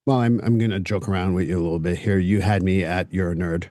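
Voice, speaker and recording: A man with a French Canadian accent, a native French speaker, hosting a casual podcast interview and talking bluntly, even swearing. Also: sits low, fast talker, slight background noise. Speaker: podcast host.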